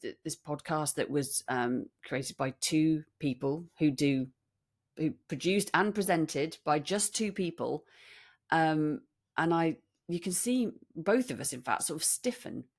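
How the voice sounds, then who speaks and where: A British woman co-hosting a podcast in casual chat, telling stories in an animated, teasing way. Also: deep voice, measured pace. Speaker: podcast co-host. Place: room in central London.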